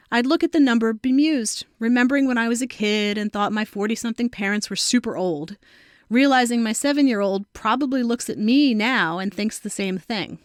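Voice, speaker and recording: A woman's voice: on the high side, dry recording, studio quality. A woman of about forty reading her own scripted personal essay as a narrator. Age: around 40.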